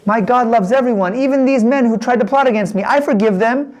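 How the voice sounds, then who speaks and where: A woman voicing a calm, earnest, faithful young man in a children's Bible story. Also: fairly high pitch, brisk, voice pushed up, lightly acted.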